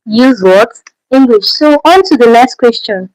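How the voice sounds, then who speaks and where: A Nigerian woman with a fairly high voice hosts a livestream Q&A in a dry, close-sounding space. She is a native Efik speaker and talks with a Nigerian accent, repeating her main points and tossing out rhetorical questions as she goes. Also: lively intonation, a little slow, a little mellow, no echo, low-quality recording. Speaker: channel host. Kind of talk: livestream Q&A advice.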